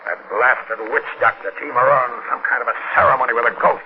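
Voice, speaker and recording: A man's voice: medium pitch, fairly quick, creaky, close to an everyday voice. A man playing an agitated, short-tempered character in an urgent 1940s radio horror drama. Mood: tense. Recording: muffled 1940s radio recording.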